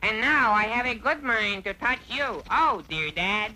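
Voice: medium pitch, animated, measured pace, background noise, natural voice. An actor voicing an earnest, aggrieved young prince in a vintage cartoon. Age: young adult.

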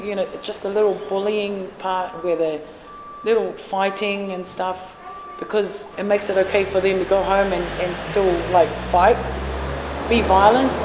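A woman in her forties who runs a café and trains fitness classes, answering interview questions earnestly with many fillers and restarts. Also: mid-range voice. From New Zealand. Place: café, indoors. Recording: echoey room with long reverb and music behind.

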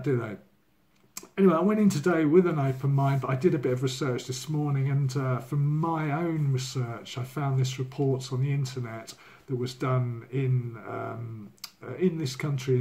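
Hoarse man in his sixties, candid home video diary, loose and rambling, camera mic. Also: mid-range voice, warm tone. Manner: candid, rambling, many fillers. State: hoarse from a cold. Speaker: vlogger. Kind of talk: casual personal video diary. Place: living room at home. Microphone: laptop or phone camera at desk.

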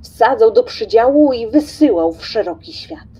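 Female narrator for audio drama: husky, theatrical, deliberate, with widely swinging intonation. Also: a little high, lively intonation, warm tone. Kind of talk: dramatic scripted literary narration.

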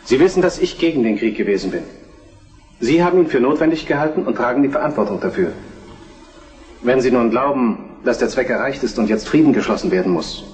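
An actor playing an earnest, measured Prussian crown prince in a historical German radio drama, formal and deliberate. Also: male voice, mid-range voice, lively intonation, a little mellow, lightly acted. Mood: earnest, conciliatory. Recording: distant microphone with music behind.

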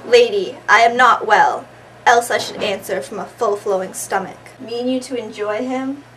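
A woman playing a scornful, bitter noblewoman in amateur Shakespeare, slow and disdainful, recorded far from the mic with noise. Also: fairly high pitch, a bit bright, natural voice. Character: haughty, scornful royal noblewoman, jealous and bitter. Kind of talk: amateur Shakespearean stage acting.

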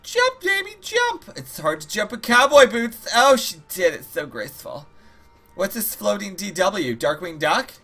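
A man's voice: high-pitched, sing-song, measured pace, sharp tone. A man giving casual gameplay commentary as host, rambling and joking with plenty of swearing and mock-dramatic asides. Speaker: gaming video host. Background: game music.

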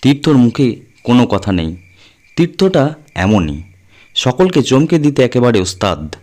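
A man's voice: mid-range voice, big pitch swings, a little slow, darkish tone. A man narrating a scripted suspense story as an audio storyteller, in a dramatic reading style.

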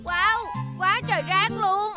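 A childlike, helpful little patrol vehicle. The cartoon voice is extremely high and keen, with music clearly behind it. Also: female voice, steady tone, slow. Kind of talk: Vietnamese children's cartoon dub.

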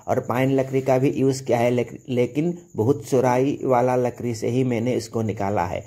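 A man's voice: medium pitch, mellow. A young man narrating an informal carpentry how-to, explaining each step with some repetition. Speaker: carpenter demonstrating on own channel.

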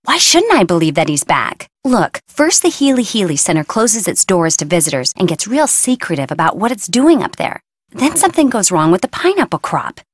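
Young surfer girl, video game dialogue, very lively pitch, dry close recording, slangy, flippant, stubborn. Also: normal speed, breathy edge, no room sound. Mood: insistent, blunt.

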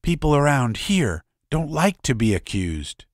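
A man reading aloud, voicing a young man who murmurs a quiet threat. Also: medium pitch, very animated, a little slow, no room sound, clean studio sound, pitched above the performer's own voice. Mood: menacing. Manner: murmuring, menacing.

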